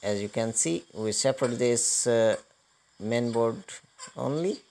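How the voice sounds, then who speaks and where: A man hosting a hands-on electronics repair tutorial, explaining step by step in a halting manner. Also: mid-range voice, animated, a little mellow. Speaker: host of electronics repair channel. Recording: clearly noisy recording with clicking.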